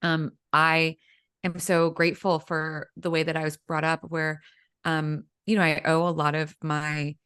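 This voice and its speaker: A woman's voice: low voice, a bit bright, clear, resonant tone. A woman in her thirties whose first language is Vietnamese, a guest in a casual interview, talking chattily with many fillers. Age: 30s. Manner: chatty, frequent fillers like um and you know. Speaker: guest, executive coach.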